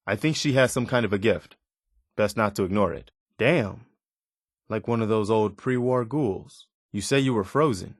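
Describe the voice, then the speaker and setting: An actor playing an earnest, wary militia man in a post-apocalyptic video game, speaking in a measured, conversational way. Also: medium pitch, no room sound, clean studio sound. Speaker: video game companion character. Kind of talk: game voice acting, short spoken reactions.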